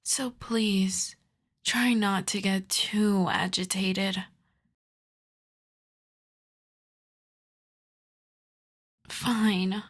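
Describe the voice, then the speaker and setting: Slow whispering seductive vampire woman voiced by an actress. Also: medium pitch, steady tone. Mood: gently commanding. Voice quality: soft, breathy whispering. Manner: slow, coaxing, doting. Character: seductive, possessive vampire woman, tender and lovestruck. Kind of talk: intimate whispered ASMR roleplay.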